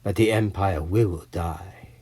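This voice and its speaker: An actor playing an imperious, self-assured rebel warlord, speaking in a measured, grandiose way in an audio drama. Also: male voice, deep voice, warm tone, breathy edge, dry recording, exaggerated voice. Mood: grim, resolute. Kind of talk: dramatic audio comic acting.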